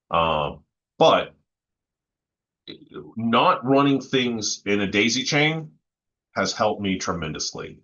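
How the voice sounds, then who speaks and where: A man talking casually and technically as a panelist, halting as he thinks aloud. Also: on the low side, sharp tone, muffled recording. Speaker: panelist in music technology discussion.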